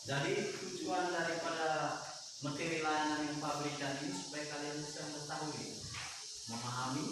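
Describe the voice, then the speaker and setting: Echoey, distant man teaching a noisy classroom. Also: medium pitch. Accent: Eastern Indonesian. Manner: didactic, prompting, repeating key phrases. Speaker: school guidance counselor teaching a class. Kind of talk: classroom lesson with questions to pupils. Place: indoor school classroom. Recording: very echoey room, distant mic, loud noise.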